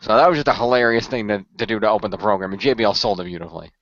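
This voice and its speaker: Homem contando histórias, som abafado. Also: male voice, lowish voice, racing, fairly bright tone, dry recording. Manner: rambling storyteller with many restarts. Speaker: podcast co-host. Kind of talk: casual recap. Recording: muffled dry lo-fi audio.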